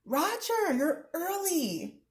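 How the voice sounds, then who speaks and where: A woman's voice: very high voice, very animated, takes it slowly, pitched above the performer's own voice, heavily acted. Woman reading as a coaxing, firm mother. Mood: firm, businesslike. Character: encouraging, firm mother of twins in a storybook.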